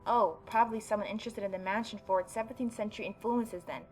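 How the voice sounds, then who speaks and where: A woman gaming YouTuber reading a story game aloud and adding expressive, mocking asides. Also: mid-range voice, quick pace, a little hiss or hum. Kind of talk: let's-play reading aloud with reaction commentary.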